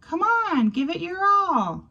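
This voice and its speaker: Woman as storybook mouse, squeaky, raised high pitch, tiny, eager, bossy, chivvying. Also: sing-song, slow, pushed and bright, dull audio, full character voice. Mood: urgent.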